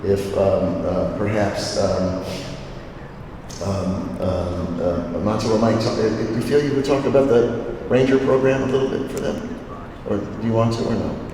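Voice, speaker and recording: A man's voice: lowish voice, steady tone, measured pace. Hesitant older man explaining in an echoey hall. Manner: hesitant, many ums, thoughtful. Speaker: anthropologist moderating a gallery talk. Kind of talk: explanatory talk to an audience. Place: gallery hall. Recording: echoey gallery hall, faint noise.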